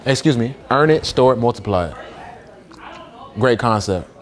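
A man's voice: mid-range voice, expressive, moderate pace. A man improvising a prank, joking and boasting with frequent laughs while pretending to be a job candidate.

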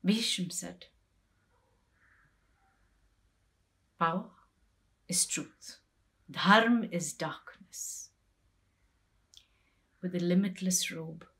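Wronged queen and wife, played by a middle-aged woman, bitter and grieving. Lowish voice, very unhurried poetic monologue, hushed in places. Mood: sorrowful, resigned. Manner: measured, deliberate, with pauses and hushed phrases. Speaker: poetry reciter. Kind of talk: dramatic poetry recitation. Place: small plain room indoors.

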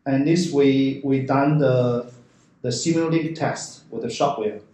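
A man from the factory staff explaining tests in a meeting room, speaking halting, broken English and repeating words. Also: medium pitch, warm tone. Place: small office meeting room. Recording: very echoey room, faint noise.

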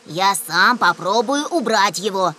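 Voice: mid-range voice, lively intonation, unhurried, sharp tone, slight background noise. An actor voicing a helpful, earnest young rescue helicopter in a children's cartoon dub. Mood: earnest, warning.

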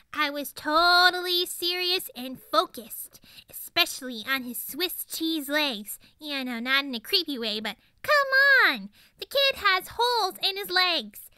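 A woman's voice: extremely high pitch, sharp tone, no echo, studio quality, exaggerated voice. A woman voicing a zany, boastful ten-year-old girl pony in a cartoon monologue, chatty and rambling with melodramatic emphasis. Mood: excited, insistent. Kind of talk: improvised cartoon character monologue.

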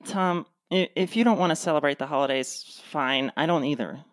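A woman's voice: sits low, normal speed, no room sound, close to an everyday voice. A woman plays a sardonic radio play wife, fed up with her husband. She delivers cutting sarcasm in a dry manner, recorded close with no room sound. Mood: dry, resigned.

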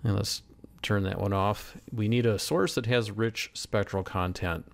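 A man giving a methodical, step-by-step software demonstration as a tutorial voice-over. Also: on the low side, unhurried, gravelly. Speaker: tutorial instructor. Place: voice-over screen recording.

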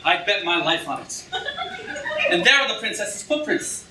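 A man's voice: high-pitched, very animated, moderate pace, sharp tone, exaggerated voice. A man playing a pompous, haughty prince in a declamatory comic style in a staged reading. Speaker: actor in a student staged reading. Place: lecture hall stage, indoors. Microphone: far camera in audience. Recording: noisy echoey hall, distant camera mic.

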